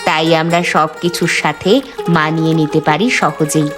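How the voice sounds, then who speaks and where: A woman's voice: sits low, fairly even intonation, a little slow. A woman voicing a plain-spoken, hardworking wife who lives on a boat, resigned and contented, in cartoon dialogue. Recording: background music under voice.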